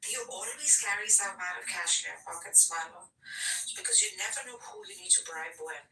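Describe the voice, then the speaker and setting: A woman's voice: fairly low pitch, wildly swooping pitch, brisk, vocal fry, sharp tone, a little hiss or hum. Blunt swearing woman, roomy distant sound. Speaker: podcast guest. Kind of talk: casual chat.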